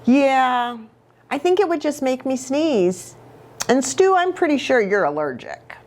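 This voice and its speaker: Woman, children's story reader, expressive, unhurried pace, animated pitch, engaging read-aloud style. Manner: expressive, asks the listeners questions.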